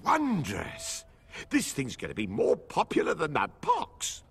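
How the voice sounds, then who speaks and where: Raspy, wildly excitable huckster. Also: male voice, a little high, unhurried, full character voice. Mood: gleeful. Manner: gushing, theatrical. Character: excitable, greedy, scatty male huckster. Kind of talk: video game cutscene dialogue.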